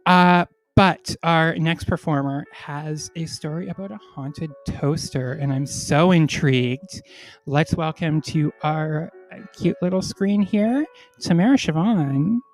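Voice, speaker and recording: Woman host, congested, a bit tipsy, slow and lowish, bubbly, video call. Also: faint music behind. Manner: bubbly, giggly. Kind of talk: hosting an online comedy show.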